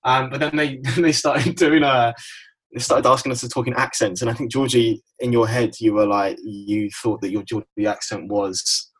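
A man's voice: mid-range voice, flat delivery, moderate pace, a bit bright, husky, poor audio. A British man in his twenties co-hosting a podcast, chatting in a conversational, self-deprecating way. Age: 20s. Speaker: podcast co-host. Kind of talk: casual chat and anecdotes.

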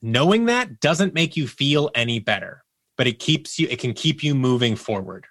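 A man with a bright, forward voice in a close, dry recording. He talks candidly and reflectively as a guest in conversation, often voicing his own thoughts as if quoting them. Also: mid-range voice, lively intonation, normal speed, dry recording. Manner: reflective, acts out inner thoughts as quoted speech. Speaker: interview guest. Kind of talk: candid personal storytelling in conversation.

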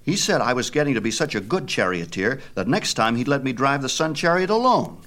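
A man's voice: medium pitch, sing-song, brisk, a bit bright, voice pushed up. A man voicing a proud, defensive young boy, earnest and stubborn, in a mythology storybook. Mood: stung, insistent. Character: proud, defensive boy bluffing about his divine father.

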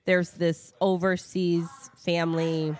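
A woman host talks in a loose, gushing way, laughing often with her guest. Her voice sits on the low side of a woman's range. It is relaxed, casual interview chat. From United States. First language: Italian. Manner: chatty, gushing, quick to laugh. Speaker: podcast host.

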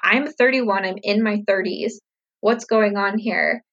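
Casting: thirties woman, vlogger doing casual Q&A, husky tone, slightly muffled lo-fi recording. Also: mid-range voice, a little slow, fairly bright tone. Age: 30s. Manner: upbeat, chatty, reflective with many fillers. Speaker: vlogger answering viewer questions. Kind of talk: casual Q&A chat to camera.

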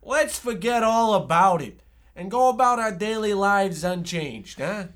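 A man as a high-voiced, innocent little brother. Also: animated, a little slow, a bit bright. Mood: gullible. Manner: earnest, mock-folksy. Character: naive, innocent, superstitious younger brother, a small-town boy. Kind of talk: amateur comic old-time radio acting.

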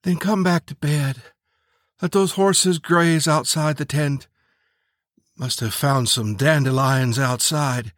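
A man voicing a gentle, affectionate, teasing woman of the Old West in an audiobook. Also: on the high side, slow pace, dry recording, clean studio sound, pitched above the performer's own voice.

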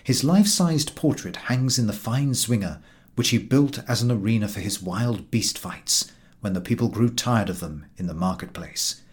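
A man's voice: lowish voice, expressive, moderate pace. A man reading a literary travel narrative aloud as an audiobook narrator. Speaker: audiobook reader.

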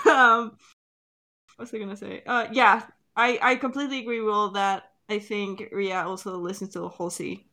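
A woman's voice: mid-range voice, steady tone, normal speed, bright, forceful tone. A woman co-hosting a creator Q&A, chatting casually in a giggly way and swearing freely. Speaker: podcast creator and co-host.

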